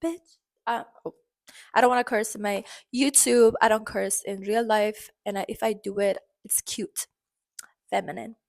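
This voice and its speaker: A woman from Iran with an Iranian accent, speaking English as a second language, chatting expressively in a casual vlog full of fillers and sudden pitch jumps. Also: fairly even intonation, moderate pace, vocal fry, darkish tone. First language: Farsi. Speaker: vlogger.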